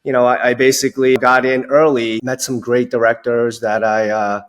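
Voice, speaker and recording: A man's voice: medium pitch, level tone, moderate pace. A man in his forties from Long Island, New York, talking casually as an interview guest and often saying "you know". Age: 40s. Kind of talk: casual conversational storytelling.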